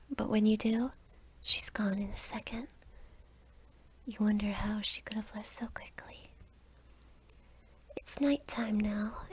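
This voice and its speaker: Young woman, whispered ASMR narration, breathy, fairly high voice, scripted storytelling.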